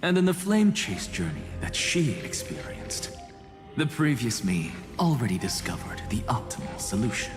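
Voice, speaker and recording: A lowish, swooping voice, a man playing an arrogant genius alchemist in a video game. He declaims with flamboyant theatrical daring. The sound has long reverb, with music clearly behind. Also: very animated, moderate pace, lightly acted. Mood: smug, gleeful. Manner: flamboyant, dramatic.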